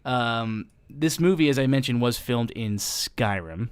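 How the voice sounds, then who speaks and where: A man's voice: mid-range voice, animated, a little slow, clean tone, no room sound. A man co-hosting a casual podcast, rambling through a movie plot with frequent ums and restarts. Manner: rambling, lots of ums and restarts, laughs easily. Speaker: podcast co-host.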